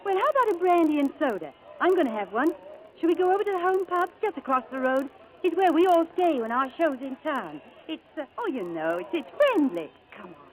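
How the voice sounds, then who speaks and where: A woman's voice: high-pitched, sing-song, brisk, poor audio. An actress in a 1940s-style radio drama playing a kind, chatty young showgirl, coaxing and protective. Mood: bright, coaxing. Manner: bubbly, coaxing, gently reassuring. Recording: old radio broadcast recording.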